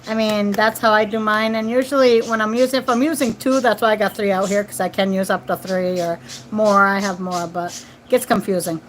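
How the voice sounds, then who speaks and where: A woman's voice: mid-range voice, steady tone, a little fast, a little hiss or hum. A woman in her forties chatting casually to viewers as host of a livestream, repeating phrases and tag questions. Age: 40s. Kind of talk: casual live chat with viewers. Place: at home, children nearby.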